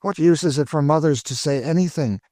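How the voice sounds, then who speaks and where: A man's voice: fairly high pitch, a little fast, darkish tone, no echo, voice pushed up, lightly acted. A man voicing a weary, despairing woman who speaks with dry bitterness. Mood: bitter.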